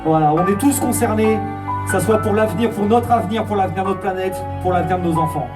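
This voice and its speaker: A man's voice: high-pitched, fast talker. A man rapping earnestly on stage at a live concert, addressing the crowd directly. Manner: rhythmic, forceful, earnest rap delivery. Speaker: rapper performing live. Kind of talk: rap performance with crowd talk. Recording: distant live phone capture with loud music. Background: hip hop beat.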